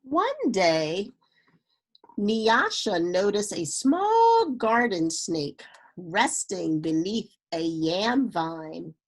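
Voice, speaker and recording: A woman reading a children's story aloud in an expressive, dramatic way with unhurried phrasing. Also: medium pitch. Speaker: storybook reader.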